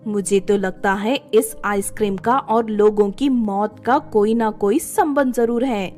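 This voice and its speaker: A woman's voice: mid-range voice, fairly even intonation, unhurried, darkish tone, faint music behind, voice pushed down, lightly acted. A woman voicing a brave, earnest female village detective in a story, with a resolute manner.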